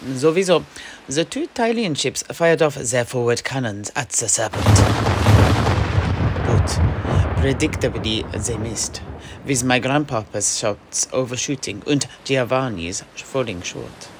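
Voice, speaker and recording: A man playing a mercenary knight, telling a sea adventure in a halting, theatrical way for a fantasy audio play. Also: medium pitch, animated, heavy background noise. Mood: dramatic storytelling.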